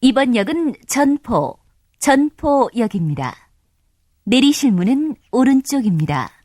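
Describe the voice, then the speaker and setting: Lively, polite woman subway announcer. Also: fairly high pitch, no room sound, clean studio sound. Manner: polite, formal, measured. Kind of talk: recorded station announcements.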